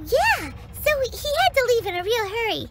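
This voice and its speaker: A woman's voice: big pitch swings, normal speed, heavy background noise, exaggerated voice. A woman voicing a tiny floating fairy companion who acts as a peppy, bossy tour guide and talks about herself in the third person. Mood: chirpy. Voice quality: high, squeaky. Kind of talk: video game cutscene voice acting. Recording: game cutscene with loud score.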